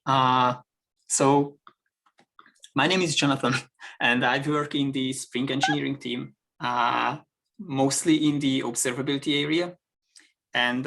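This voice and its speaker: Gravelly Hungarian-accented man, hesitant. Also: medium pitch, bright, forceful tone. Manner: hesitant, many fillers and restarts. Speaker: guest engineer. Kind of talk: casual chat. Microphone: video call.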